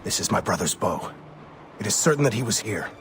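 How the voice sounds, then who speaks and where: A very deep-voiced solemn warrior. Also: male voice, very animated, a little fast, fairly bright tone, faint music behind. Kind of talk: video game cutscene acting.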